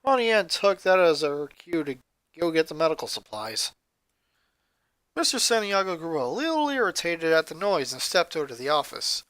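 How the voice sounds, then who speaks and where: A woman's voice: low voice, big pitch swings, moderate pace, fairly bright tone, dry recording. A woman narrating a fan fiction story from a script.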